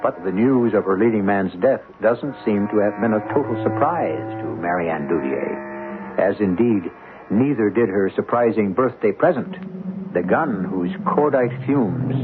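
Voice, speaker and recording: A man narrating an old-time radio mystery as its host, with ominous, dramatic and deliberate phrasing. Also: fairly low pitch, expressive. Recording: narrow-band old radio broadcast, music behind.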